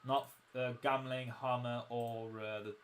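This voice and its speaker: A young man commentating casually on his own game livestream, his voice croaky, chatty and self-mocking as he explains the mechanics. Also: medium pitch, little pitch movement, a little slow, a little hiss or hum. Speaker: game streamer.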